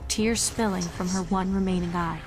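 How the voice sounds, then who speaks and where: A woman's voice: lowish voice, steady tone, normal speed, music in the background. A woman giving a dramatic scripted narration of a horror story.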